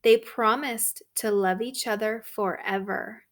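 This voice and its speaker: A woman reading a story aloud to children, expressive and deliberate. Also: medium pitch. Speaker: storybook reader for third graders.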